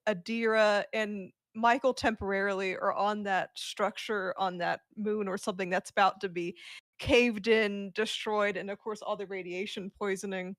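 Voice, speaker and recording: Woman podcast chat, informal and emphatic, mid pitch, really dry recording. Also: a bit bright, dry recording. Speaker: podcast co-host.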